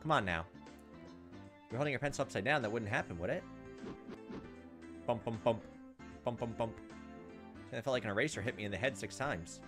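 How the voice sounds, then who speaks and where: A man's voice: mid-range voice, a little fast, long pauses, music in the background. A man doing a casual let's play, reading a visual novel aloud and adding his own informal asides. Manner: informal, reacts to the story as he reads. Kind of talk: casual gameplay narration with asides.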